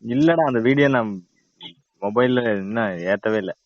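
A man's voice: medium pitch, lively intonation, moderate pace. A man chatting casually with friends as a vlogger. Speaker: vlogger planning videos with his friends. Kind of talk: casual chat. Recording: dull lo-fi recording.

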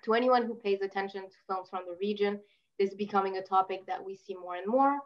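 A woman, a festival programmer, moderating a video call Q&A in a measured way with frequent fillers. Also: medium pitch. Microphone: laptop webcam. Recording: dull lo-fi call audio.

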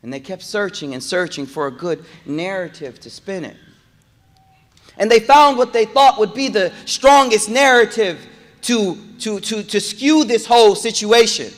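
A man giving a Friday sermon, moving from calm storytelling to loud, emphatic preaching and repeating phrases for emphasis. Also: high voice, measured pace. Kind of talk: impassioned sermon. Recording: echoey hall reverb.

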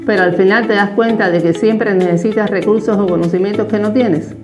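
Female explainer narrator over background music. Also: medium pitch. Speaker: host and narrator.

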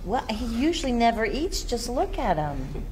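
A woman's voice: on the high side, very animated, moderate pace, darkish tone. An actress reading a worried, flustered suburban mother at a table read. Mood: fretful. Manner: fretful, flustered. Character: fussy worried suburban mother, middle-aged. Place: meeting room, indoors. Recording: noisy room, table read.

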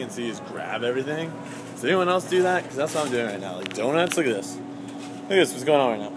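A young man rambling casually to a camera as he goes about errands, chatty, exclamatory and easily sidetracked. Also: medium pitch. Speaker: vlogger. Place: on the go, car then grocery store. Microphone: handheld camera he sets down. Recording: clear ambient and vehicle noise.

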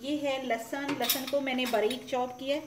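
A woman's voice: high-pitched, steady tone, a little fast. A woman hosting a home cooking show, giving recipe instructions step by step. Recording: loud frying sizzle and stirring noise. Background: sizzling pan and stirring.

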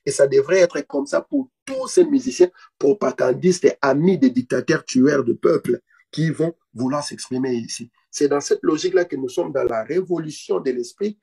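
Husky-voiced man, emphatic activist. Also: medium pitch, darkish tone. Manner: emphatic, declamatory, repeats phrases for emphasis. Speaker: activist guest. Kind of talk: impassioned political argument over video call. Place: indoor room. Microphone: video call.

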